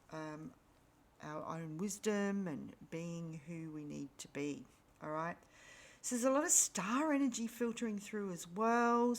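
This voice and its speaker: A woman with a slightly raspy voice, still recovering from losing it, giving an unhurried spiritual energy reading with frequent pauses and ums. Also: mid-range voice. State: recovering from lost voice. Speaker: spiritual reader.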